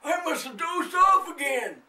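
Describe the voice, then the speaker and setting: A woman's voice: very high voice, expressive, a little slow, bright, forceful tone, pitched above the performer's own voice, full character voice. A woman voicing a friendly, drowsy, apologetic old man in a children's story, with a roomy, distant recording.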